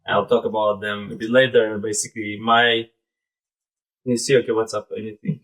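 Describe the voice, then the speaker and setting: A man in his thirties with a Belarusian accent gives an informal live presentation in a halting, conversational way, often saying "like" and "basically". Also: lowish voice, flat delivery, fairly bright tone, poor audio. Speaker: presenter, startup founder.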